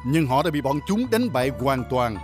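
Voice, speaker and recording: Veteran cartoon robot warrior played by a man, solemn, formal, mid-pitched, with music behind. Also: very animated, moderate pace, a little mellow, natural voice. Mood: solemn, nostalgic. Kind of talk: Vietnamese cartoon dubbing.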